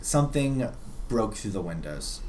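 A man running a tabletop roleplaying game, improvising scene narration in a halting, conversational way and often rephrasing mid-sentence. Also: on the low side, big pitch swings, a little mellow, a little hiss or hum. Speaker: game master.

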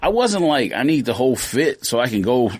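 A man's voice: mid-range voice, very animated, a little fast, breathy edge, no echo. A man co-hosting a casual podcast, talking in blunt, argumentative slang. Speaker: podcast co-host. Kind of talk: casual sneaker podcast banter.

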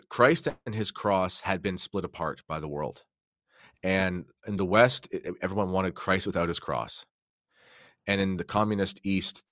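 A man's voice: sits low, no room sound, clean studio sound. A man from Canada speaking as a podcast guest in a casual religious discussion, explaining things in an earnest, conversational way.

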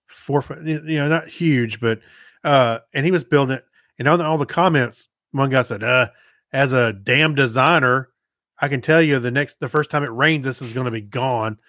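A man co-hosting a podcast, telling anecdotes in a casual, halting, conversational way. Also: mid-range voice, fairly bright tone, no room sound, studio quality. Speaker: podcast co-host. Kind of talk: casual chat.

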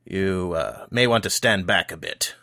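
Grumpy old shopkeeper wizard, voiced by a male narrator, irritable and dismissive, sharp edge to the tone. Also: low-pitched, a little slow, full character voice. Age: older. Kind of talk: audiobook character voice.

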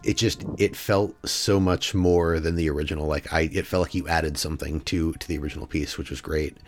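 A man co-hosting a podcast, calm and thoughtful, giving short agreeing replies. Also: a bass voice, a little fast. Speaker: podcast co-host. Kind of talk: casual podcast chat.

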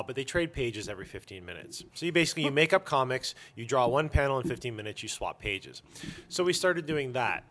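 Bright-voiced man chatting casually as interview guest. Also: medium pitch. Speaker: interview guest, comic store owner.

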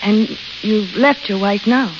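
An actress playing a frank, restless young waitress, eager and wistful, in old radio drama style. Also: female voice, medium pitch, slow pace, natural voice. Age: young adult. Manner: plain-spoken, eager, wistful. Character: young desert waitress, dreamy, frank, restless amateur painter. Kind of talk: 1930s-40s radio drama acting. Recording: hissy, crackly, muffled old radio recording.

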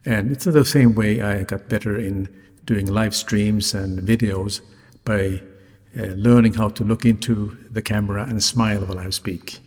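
A man who is a non-native English speaker hosts a solo podcast, articulating carefully and deliberately in a slightly raspy voice. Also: fairly low pitch, fairly even intonation, a little mellow. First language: not English. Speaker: podcast host, hotelier. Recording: reverberant hall-like sound, music behind.

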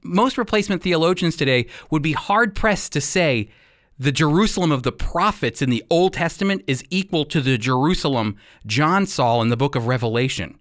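A man's voice: on the high side, animated, moderate pace, fairly bright tone, no room sound. Earnest male Bible teacher, dry close sound. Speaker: radio program host and Bible teacher. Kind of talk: explanatory Bible history teaching.

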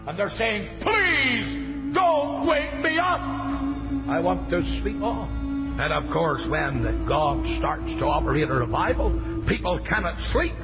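A man preaching an impassioned old-time revival sermon, fervently crying out to his listeners. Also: sits high. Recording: dull archive sermon in reverberant hall, music behind.

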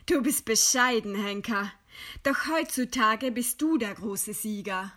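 An actress playing a weary, bitterly ironic woman facing execution, with deliberate dramatic delivery in a German radio play. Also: fairly high pitch, bright, forceful tone. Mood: ironic, probing.

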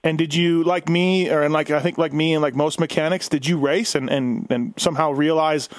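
Higher-voiced man hosting a casual interview. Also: steady tone, a little fast, no room sound. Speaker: podcast host, former race mechanic.

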